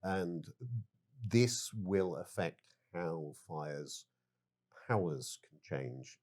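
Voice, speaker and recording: Casting: male game developer interviewee, lowish husky voice, halting, considered explanations. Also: expressive, darkish tone. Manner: halting, deliberate, measured phrases.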